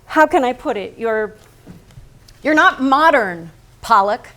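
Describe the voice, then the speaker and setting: A slow, high-voiced, needling woman painter. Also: lively intonation, fairly bright tone. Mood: provoking. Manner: deliberate, pointed, dramatic. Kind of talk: theatrical staged reading.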